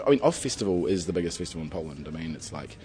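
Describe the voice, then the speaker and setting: Fast-talking deep-voiced man. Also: animated, crowd noise. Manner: rambling, filler-heavy, enthusiastic. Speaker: festival organiser. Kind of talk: casual interview.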